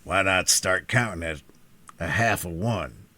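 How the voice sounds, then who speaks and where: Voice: deep voice, a little slow, bright, forceful tone, heavily acted. A reader voicing a stolid wooden sawhorse in a storybook, speaking abruptly and matter-of-factly. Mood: blunt. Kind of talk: audiobook character reading.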